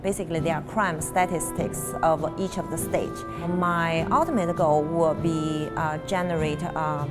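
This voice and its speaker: Low-voiced woman over music. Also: fairly even intonation, measured pace, hardly any pauses. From China. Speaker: artist. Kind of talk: explaining own work in a documentary interview.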